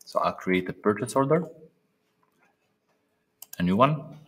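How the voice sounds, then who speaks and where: A man narrating a step-by-step software training demonstration, speaking haltingly with pauses in mid-phrase. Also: fairly low pitch, expressive, slightly rough. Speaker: software trainer presenting a tutorial.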